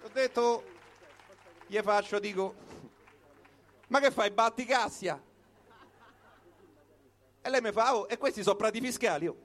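A very high-pitched male voice with a Roman accent recites a comic monologue. He delivers it like live storytelling, in short colloquial phrases with pauses between them. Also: fairly bright tone. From Rome, Italy. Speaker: comic performer reciting his own piece.

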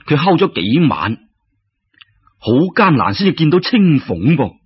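Animated Cantonese male storyteller, husky voice. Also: fairly high pitch, a little slow. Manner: colloquial, dramatic, full of exclamations. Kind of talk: animated traditional serial storytelling. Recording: dull lo-fi radio-like recording.

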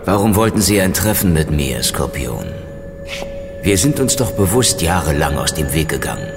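A man's voice: low-pitched, sing-song, moderate pace. An actor in an audio drama playing a guarded, suspicious man at a secret meeting, wary and dramatic. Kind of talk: German audio drama dialogue. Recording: long reverb of concrete car park. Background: music.

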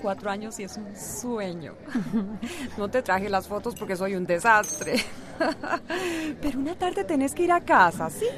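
An actress with a Costa Rican accent playing a talkative, affectionate friend, effusive and teasing, in a radio soap. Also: female voice, mid-range voice, animated, measured pace, pauses often, a crowd in the background, close to an everyday voice. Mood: friendly, eager.

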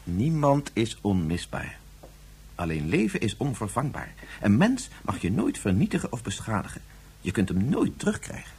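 Preoccupied sci-fi father: very husky, lively intonation, formally explanatory, radio play. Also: on the low side, normal speed, full character voice. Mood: grave. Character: preoccupied, reasoning father in a future society. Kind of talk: radio drama acting.

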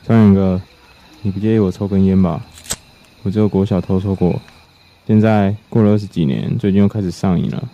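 A man's voice: low voice, fairly even intonation, brisk. An actor playing a weary man in his twenties who shoulders his family's burdens, confiding and reflective, in a radio drama. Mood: confiding, wry. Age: 20s. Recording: slightly muffled, guitar music behind.

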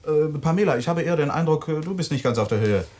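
A man's voice: a little high, animated, fast talker, a little mellow, natural voice. An actor playing a teasing male friend, chummy and joking, hesitating with little fillers. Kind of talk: radio play acting.

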